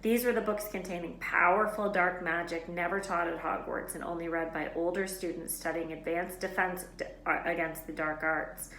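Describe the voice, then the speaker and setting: A woman narrates a children's novel with expressive, scripted delivery. Her voice is on the low side yet bright and forceful, recorded in an echoey room with faint noise. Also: normal speed. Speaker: read-aloud storyteller.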